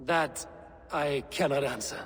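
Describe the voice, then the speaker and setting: An actor playing a skeptical, impatient Viking warrior in a video game, speaking bluntly and tersely. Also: male voice, mid-range voice, measured pace, fairly bright tone, slightly rough. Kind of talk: dramatic game acting.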